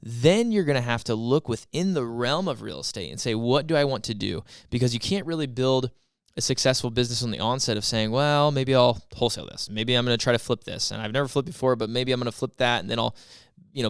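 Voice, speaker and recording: Male podcast co-host, mid pitch, resonant clear voice, dry booth sound, easygoing chatty manner. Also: a little fast, no room sound. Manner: easygoing, agreeable, frequent "yeah" and "like". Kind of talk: casual chat.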